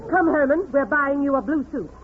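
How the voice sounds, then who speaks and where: A woman's voice: high-pitched, lively intonation, fairly quick, narrow, tinny audio. A woman playing a bossy, domineering wife in 1940s radio comedy, curt and commanding. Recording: very noisy, band-limited 1940s radio, audience behind.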